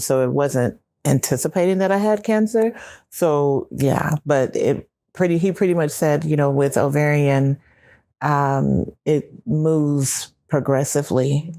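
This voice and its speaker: Middle-aged American woman, plain-spoken panelist, deep mellow tone, personal testimony. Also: no echo. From Memphis, Tennessee, United States. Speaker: round-table panelist, cancer survivor. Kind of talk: personal testimony in a round-table talk.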